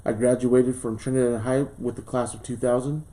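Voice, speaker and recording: A man in his late thirties, a school board candidate from southern Colorado, reading a formal speech and answering questions earnestly, with pauses and fillers, in a small studio. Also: medium pitch, little pitch movement, mellow, low-quality recording. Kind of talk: prepared campaign speech and interview answers.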